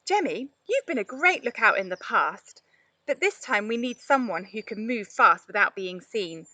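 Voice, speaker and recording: A frank animal friend read by a woman speaks up earnestly in a children's story. The voice is high and bright, very dry and close. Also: big pitch swings, normal speed, dry recording, recorded from a distance, heavily acted. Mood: frank, apologetic. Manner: candid, earnest. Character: frank animal friend, guilty but brave.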